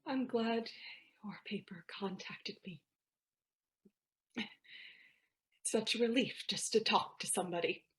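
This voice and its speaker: A woman playing a bitter, ailing, jilted middle-aged ex-girlfriend in a fan-made drama monologue, wry and halting. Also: mid-range voice, a bit bright. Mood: weary relief. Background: piano music.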